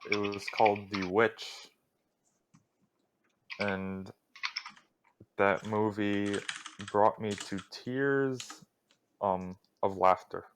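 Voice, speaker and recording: A young man co-hosting a casual podcast, talking in a droning, flat voice with little emotion and going off on rambling tirades. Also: on the low side, very slow, pauses often, background noise. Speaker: podcast co-host.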